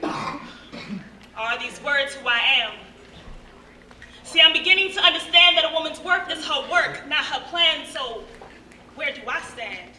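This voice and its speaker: An echoey recording of a young American woman on stage, heard from far off. Her voice is high and very bright. She recites spoken-word verse earnestly, in dramatic rhythm with deliberate pauses. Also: lively intonation. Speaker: monologue performer. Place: stage at a live show. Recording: echoey hall from far off, faint noise.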